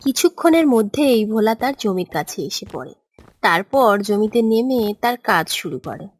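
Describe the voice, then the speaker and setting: A woman's voice: on the high side, big pitch swings, moderate pace, breathy edge. A woman giving scripted narration of a fairy tale as storyteller. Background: background music.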